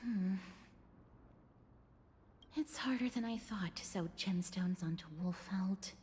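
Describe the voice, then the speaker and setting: A woman voicing a kind, thoughtful, soft-spoken young woman in an anime game, gentle and polite. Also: medium pitch, slow, slight background noise, natural voice. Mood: warm, thoughtful.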